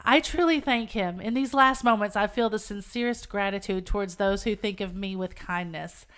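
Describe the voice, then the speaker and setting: A woman voicing a gentle, resigned young woman condemned to death, solemn and earnest, in a novel reading. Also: mid-range voice, moderate pace, clean tone, voice pushed down, close to an everyday voice. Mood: grateful, resigned.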